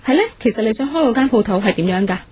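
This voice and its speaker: An actress playing a bossy, fun-loving young friend in a radio drama. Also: female voice, on the high side, lively intonation, racing, a little mellow, slight background noise, close to an everyday voice. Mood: teasing, supportive. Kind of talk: Cantonese radio drama acting.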